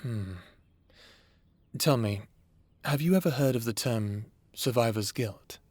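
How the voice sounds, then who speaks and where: A man playing a calm, caring therapist who talks to a patient in a measured, reassuring way, in an audio roleplay. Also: medium pitch, dry recording. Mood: gentle, reassuring.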